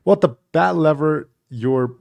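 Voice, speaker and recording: A man's voice: on the high side, slow pace, vocal fry, a little mellow, dry recording. A man co-hosting a podcast in casual, conversational back-and-forth. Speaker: podcast co-host. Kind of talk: casual chat.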